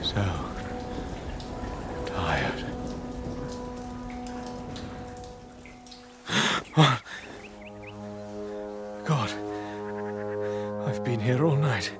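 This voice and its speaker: An actor playing a proud, tormented, weary lord in a gothic audio drama, speaking in halting, archaic, theatrical phrases. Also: male voice, mid-range voice, very animated, over music. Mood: exhausted.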